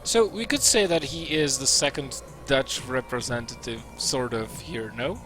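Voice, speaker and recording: A man from Slovakia casts a strategy game match in relaxed, chatty talk. He often stops and restarts his sentences with fillers, over a soft bed of faint music. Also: medium pitch, moderate pace. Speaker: esports caster. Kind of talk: casual game commentary.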